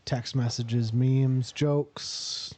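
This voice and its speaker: A man with a mellow, warm voice hosts a relaxed podcast chat among friends. He talks at an unhurried middle pitch, stopping often on little fillers as he works through his intro. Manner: hesitant, many filler pauses. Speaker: podcast host.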